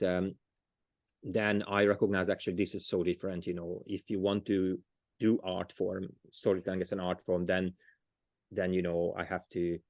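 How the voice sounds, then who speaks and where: A man's voice: low-pitched, a little mellow, no room sound. A man with a Hungarian accent, a storyteller being interviewed, talking casually and often restarting as he searches for words. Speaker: storyteller, guest.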